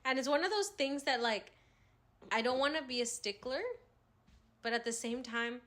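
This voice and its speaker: A woman co-hosting a podcast in easy, casual chat. She talks in an animated, teasing way, laughing often. Her voice sits a little high. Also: moderate pace. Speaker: podcast co-host.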